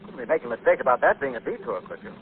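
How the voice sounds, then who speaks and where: Male actor as suave, level-headed young hero of a 1940s radio thriller, medium pitch, expressive, on a thin noisy recording. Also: racing, phone-quality sound, a crowd in the background, natural voice. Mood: brisk, questioning. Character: calm, skeptical wealthy young man about town. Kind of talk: 1940s radio drama acting.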